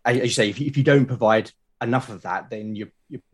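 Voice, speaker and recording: A British man talking casually as an interview guest, explaining things haltingly and often repeating his words. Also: medium pitch, husky. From Britain. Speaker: podcast guest, game master and podcast creator. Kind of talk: casual conversational interview talk.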